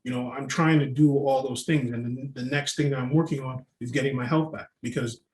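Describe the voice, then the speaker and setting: A man's voice: mid-range voice, fairly even intonation, brisk, a little mellow. A man, a podcast guest telling stories in a rambling way, full of "like". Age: 30s. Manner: storytelling, rambling, with frequent "like" fillers. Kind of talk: casual podcast conversation. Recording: roomy echo.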